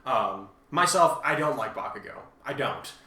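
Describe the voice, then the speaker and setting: A man's voice: mid-range voice, expressive, moderate pace, bright, forceful tone. A man chatting casually as a co-host, explaining himself in an emphatic, defensive, rambling way. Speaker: fan fiction author and co-host. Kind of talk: casual conversational commentary on listener reviews. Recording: roomy, echoey home recording, distant mic.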